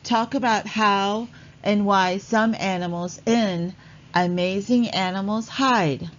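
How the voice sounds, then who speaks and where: A woman narrating a read-along lesson for children in a deliberate, instructional way. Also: mid-range voice, dull audio, slight background noise.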